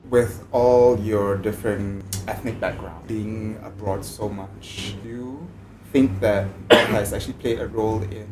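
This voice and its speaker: A man from Malaysia, hosting a podcast in a lively, playful way. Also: on the low side, noisy background. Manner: lively and playful, quick to laugh. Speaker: podcast host. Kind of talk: podcast intro and interview.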